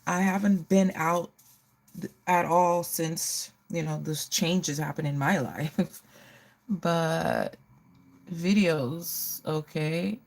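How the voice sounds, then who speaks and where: A woman's voice: sits low, animated, slow, creaky, a little mellow. A middle-aged woman hosting a casual get-ready livestream, chatting to viewers and often repeating her phrases.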